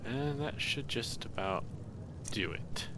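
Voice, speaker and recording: A man's voice: mid-range voice, expressive, slow, very noisy. A man hosting a let's play, giving casual commentary and muttering to himself as he plays. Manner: muttering to himself while playing. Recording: loud game music under voice.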